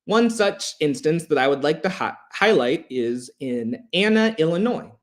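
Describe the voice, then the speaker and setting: Higher-voiced man lecturing with lively intonation. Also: measured pace. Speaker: history lecturer. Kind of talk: prepared educational history presentation.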